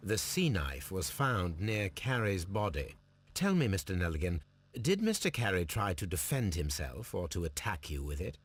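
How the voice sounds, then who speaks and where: A man playing a composed detective in a video game, probing in a measured, formal and coolly inquisitive manner. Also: low voice, wildly swooping pitch, breathy edge, full character voice. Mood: cool interrogation. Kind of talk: dramatic video game acting.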